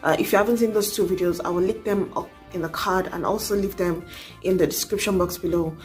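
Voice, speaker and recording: A woman's voice: lowish voice, steady tone, fairly quick, a little mellow, faint music behind. A young adult woman, talking earnestly to camera in a casual advice vlog, urging and coaxing with many asides. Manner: earnest, exhorting, frequent "you know". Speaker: vlogger.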